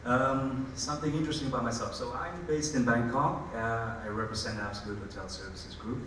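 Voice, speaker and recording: A man gives a panel answer in a hesitant way, often pausing on fillers. His voice is far from the mic. It sounds washed in the reverb of a large hall, with noise underneath. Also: mid-range voice. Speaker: panellist, hotel development executive. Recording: echoey hall, distant mic, background noise.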